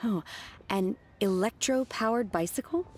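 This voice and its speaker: An actress playing a calm, stoic young woman disciple in a video game, blunt, earnest and politely measured. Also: medium pitch, faint music behind, natural voice. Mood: deadpan, measured.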